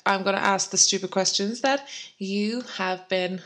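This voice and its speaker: A hoarse woman co-hosting a podcast, chatty and rambling, poking fun at herself. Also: medium pitch, moderate pace, fairly bright tone. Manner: chatty, rambling, self-deprecating. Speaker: podcast co-host. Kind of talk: casual podcast chat.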